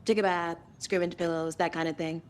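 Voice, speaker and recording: A woman's voice: on the low side, little pitch movement, brisk, a little hiss or hum, close to an everyday voice. A woman playing a brash true-crime writer in a video game, sardonic and defensive in her delivery. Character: brash, wry female true-crime writer from New York. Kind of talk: naturalistic video game acting.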